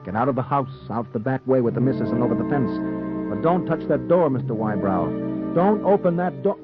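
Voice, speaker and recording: A man's voice: medium pitch, animated, fairly quick, natural voice. An actor playing a sinister, taunting narrator of a 1940s noir radio drama, theatrically addressing a doomed man. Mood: frantic warning. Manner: theatrical, ominous, insistent second-person address. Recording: muffled, tinny 1940s radio recording, music behind.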